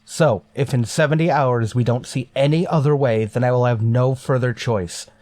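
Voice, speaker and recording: Man as starship captain, sci-fi radio drama: mid-pitched, authoritative, grave, resolute. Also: normal speed, a little mellow, no echo, close to an everyday voice. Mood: stern, grave. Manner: authoritative, clipped, reasoning aloud. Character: stern, resolute male starship captain under tension. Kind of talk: golden age sci-fi radio drama acting.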